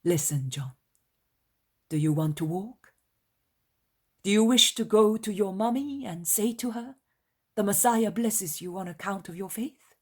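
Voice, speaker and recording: A woman performs a commanding yet gentle male teacher from a religious story. The voice is breathy and rough-edged, with slow, deliberate delivery. Each phrase is solemn and emphatic. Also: mid-range voice, animated, pitched above the performer's own voice. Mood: tender, reassuring. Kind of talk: dramatised religious story reading.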